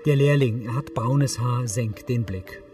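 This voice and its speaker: A male audio describer narrates a screen drama in neutral, matter-of-fact sentences. His mid-pitched voice sits slightly away from the mic, with music clearly playing behind it. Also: a little slow, far from the mic.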